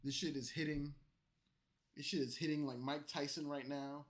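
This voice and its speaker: A man streaming late at night, tipsy from alcohol and an energy drink, delivering a loud, profane, rambling rant at his chat. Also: on the high side, fairly even intonation, normal speed. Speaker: streamer reacting to clips.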